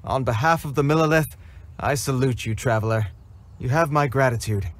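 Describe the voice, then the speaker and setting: A man's voice: medium pitch, normal speed, close to an everyday voice. A man voicing a formal, dutiful guard soldier in a game cutscene, who gets flustered around children. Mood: proud. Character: earnest, formal young male guard soldier. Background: game music.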